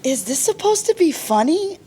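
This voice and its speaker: A woman's voice: high-pitched, sing-song, normal speed, mellow. A woman playing an impatient, competitive stand-up comedy student in a sitcom podcast, blunt and challenging. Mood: skeptical.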